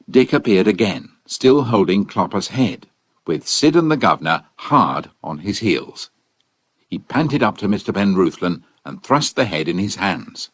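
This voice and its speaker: A man narrating a storybook in an expressive, dramatic way. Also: on the low side, dry recording.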